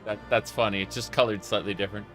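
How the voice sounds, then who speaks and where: A man's voice: lowish voice, fairly even intonation, fast, a bit bright. A man doing casual live gameplay commentary as a streamer, reacting off the cuff. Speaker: game streamer. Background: game music.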